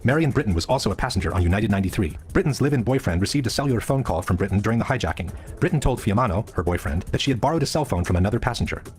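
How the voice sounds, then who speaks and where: A man reading scripted documentary narration in a measured, expository manner, sounding sped up. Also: fairly low pitch, animated, darkish tone. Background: background music.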